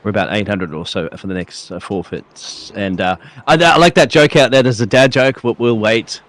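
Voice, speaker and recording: A man with a fairly low voice chats casually as a live-stream co-host. The sound is dry, with very little room around it, and the talk is loose banter. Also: normal speed, a bit bright, no room sound.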